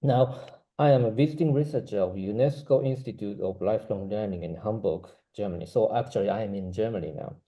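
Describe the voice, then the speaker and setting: Dark-voiced Japanese-accented male researcher. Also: lowish voice. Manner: careful, measured reading from a script with brief "uh" pauses. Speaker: researcher giving a conference talk. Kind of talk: prepared academic presentation.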